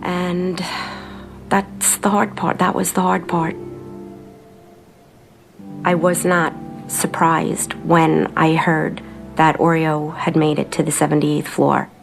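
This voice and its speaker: Slow, gravelly-voiced woman reflecting. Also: low voice, little pitch movement. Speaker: documentary interviewee. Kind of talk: personal reflective interview. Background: faint background music.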